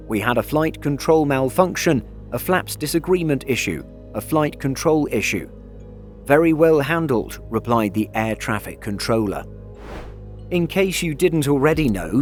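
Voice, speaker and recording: Man, medium pitch, scripted news voice-over, measured factual delivery, music bed. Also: a little mellow. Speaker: narrator of an aviation news video. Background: background music.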